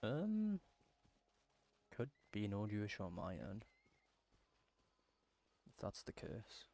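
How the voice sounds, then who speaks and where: A man's voice: on the low side, wildly swooping pitch, takes it slowly, mellow, faint music behind. A man giving casual live commentary while playing a game, muttering and thinking aloud. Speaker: game streamer.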